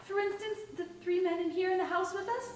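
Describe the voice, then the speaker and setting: A woman's voice: very high-pitched, fairly even intonation, a little fast, a little mellow. A woman playing a spirited, sweet young heiress in a staged radio play. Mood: earnest. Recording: echoey hall, distant mics, faint noise.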